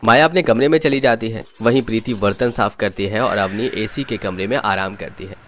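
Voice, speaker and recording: A man's voice: lowish voice, brisk, fairly bright tone, a little hiss or hum. A man reading scripted storybook narration for a cartoon. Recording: music under the voice.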